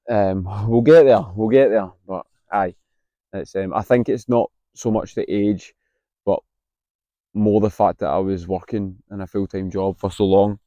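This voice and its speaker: Scottish guy late 20s vlogging outside, husky and low, kinda muffled sound, lots of ums. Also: dark tone, no room sound. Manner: hesitant, lots of ums, candid and reflective. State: husky from being unwell. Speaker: vlogger, university student. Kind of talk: casual vlog to camera. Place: outdoors on a campus.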